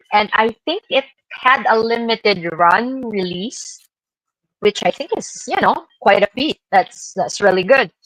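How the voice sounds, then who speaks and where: An enthusiastic woman chats casually as she presents games on a show. Her voice has an average pitch and is recorded very dry, with no room around it. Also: a bit bright, no echo, rough recording.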